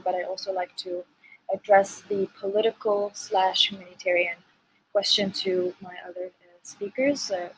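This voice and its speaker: A woman's voice: medium pitch, slight background noise, low-quality recording. A woman moderating a webinar panel over a video call, putting questions to speakers hesitantly.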